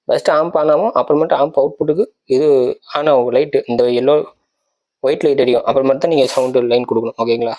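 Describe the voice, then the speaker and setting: Fast-talking man presenting. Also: mid-range voice, a little mellow. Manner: explanatory, repeatedly checking listeners follow. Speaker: tech channel presenter. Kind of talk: casual product walkthrough.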